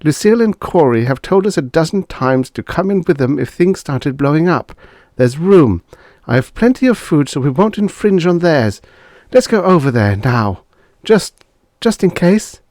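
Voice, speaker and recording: A man's voice: on the high side, big pitch swings, moderate pace, hardly any pauses, darkish tone, no room sound, clean studio sound, voice pushed up. A man voicing an anxious, affectionate young wife who pleads with fire in an audiobook story. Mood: urgent pleading.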